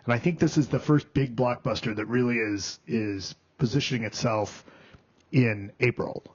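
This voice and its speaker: A man reviewing a film on a podcast, talking casually with frequent ums and restarts. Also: medium pitch. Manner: halting, many ums and restarts. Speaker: film critic, podcast co-host. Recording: dull lo-fi podcast audio.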